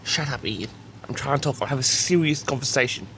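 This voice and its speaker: A man's voice: medium pitch, expressive, a little fast, creaky, fairly bright tone, slight background noise, low-quality recording. A man delivering a mock-serious, grandiose monologue, repeatedly addressing his audience with self-important certainty. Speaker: host of a comedic audio log.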